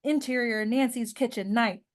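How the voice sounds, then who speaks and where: A woman's voice: fairly high pitch, moderate pace. A woman narrating screenplay directions at a table read, now and then stumbling over words and rereading them. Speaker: narrator reading stage directions.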